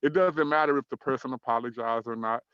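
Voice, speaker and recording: Earnest thirty-something talk show host. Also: male voice, medium pitch, clear, resonant tone, no room sound, muffled recording. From Dallas, Texas. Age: 30s. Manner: measured, earnest, uses fillers like "um" and "uh". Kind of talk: online talk show discussion.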